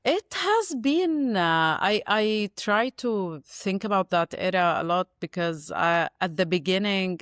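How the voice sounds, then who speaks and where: A woman in her forties, born in Syria and raised in Gaza, speaking as a podcast guest in a thoughtful, pausing way over a video call. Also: low-pitched, a bit bright, clear, resonant tone, dry recording, studio quality. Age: 40s. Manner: thoughtful, searching for words with many pauses. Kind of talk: reflective interview conversation.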